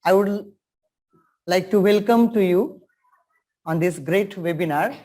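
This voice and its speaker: A man's voice: sits high, slow pace, darkish tone. An Indian man hosting a webinar over a video call. His speech is earnest and formal, with key phrases repeated for emphasis in the manner of a teacher addressing students.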